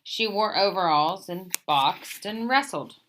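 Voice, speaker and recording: A woman's voice: medium pitch, animated, a little slow, fairly bright tone, a little hiss or hum, low-quality recording. A woman reads a children's picture book aloud as a storyteller, expressively, lifting the openings of phrases and settling at sentence ends.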